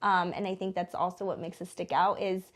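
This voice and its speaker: A woman's voice: lowish voice, fairly even intonation, a little fast. An American woman in her thirties speaking informally as an interview guest, in a chatty way with lots of casual filler words like "like". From born in the Philippines, raised in Denver from age eight. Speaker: interview guest, small business co-owner. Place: small studio.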